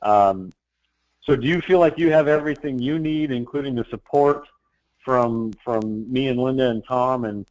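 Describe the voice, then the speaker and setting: A man's voice: medium pitch, moderate pace, darkish tone, dry recording. A man runs a sales team call, easygoing and encouraging, circling back on his words. His voice comes over a muffled, lo-fi line with no room sound. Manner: loose and encouraging, many fillers and restarts. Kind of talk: casual business meeting talk.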